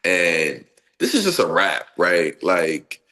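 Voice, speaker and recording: A man's voice: sits low, takes it slowly. A man with a stuffy, nasal voice from a cold, talking casually in an interview and then performing spoken-word poetry with rhythmic delivery. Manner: expressive, laughs often, rhythmic poetic delivery. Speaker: poet guest.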